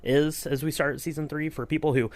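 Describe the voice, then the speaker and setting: A man's voice: a little high, fairly even intonation, brisk, a little mellow, no room sound. A man hosting a podcast in a casual chat, feeling his way through questions with upbeat, approving remarks. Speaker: podcast host.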